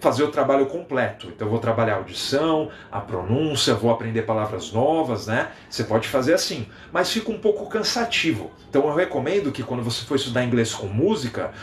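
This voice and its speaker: A young Brazilian man with a Brazilian Portuguese accent, an English teacher giving a lively live-stream lesson and chatting with viewers. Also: medium pitch, fast, hardly any pauses, roomy sound, a little hiss or hum. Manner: lively, animated, reads out viewer comments.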